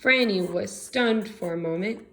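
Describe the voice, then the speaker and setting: A woman's voice: mid-range voice, measured pace, fairly bright tone, slight background noise. A woman with an American accent reading a storybook aloud expressively, as a teacher does for young children. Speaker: second grade teacher.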